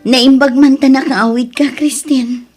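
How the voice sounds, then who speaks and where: A woman's voice: high-pitched, steady tone, unhurried, darkish tone, faint music behind. A woman with an Ilocano Filipino accent playing an inquisitive elder female relative in an audio drama. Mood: welcoming.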